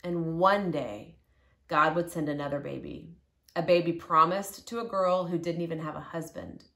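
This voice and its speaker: A middle-aged woman reads a children's story aloud. Her voice sits a little low, and her delivery is expressive. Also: moderate pace, creaky. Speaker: storybook reader. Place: living room, indoors. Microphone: camera or phone a short distance away.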